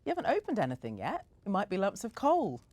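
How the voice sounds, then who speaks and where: A woman's voice: medium pitch, wildly swooping pitch, fast talker, darkish tone, no room sound, pitched above the performer's own voice. A woman reading as a teasing older brother. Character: teasing boy, pretending to be tough.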